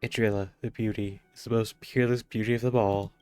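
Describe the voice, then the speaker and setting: A man with a fairly low voice streams a video game. He reads dialogue aloud and adds casual commentary, with clear game music playing behind him. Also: little pitch movement, brisk, darkish tone, dry recording.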